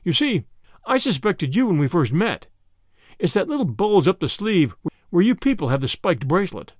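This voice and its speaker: A man's voice: high-pitched, big pitch swings, normal speed, no echo, studio quality. A man voicing a small, mild, shrewd country priest in a storybook, speaking simply with a timid, apologetic manner.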